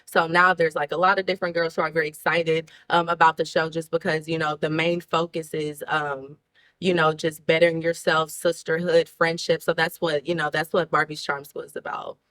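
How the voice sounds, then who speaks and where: A woman's voice: low-pitched, level tone, a little fast, fairly bright tone. A woman. She talks as a guest in a casual interview, rambling informally and leaning often on "you know.". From Houston, Texas, United States. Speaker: show guest, rapper.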